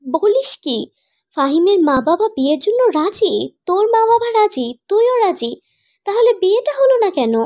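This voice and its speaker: A woman acts a loyal, inquisitive young female friend in a cartoon love story. The voice is high and close, very dry. The delivery is questioning and gently reproachful. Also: big pitch swings, moderate pace, dry recording. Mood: puzzled. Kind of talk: animated romantic drama dialogue.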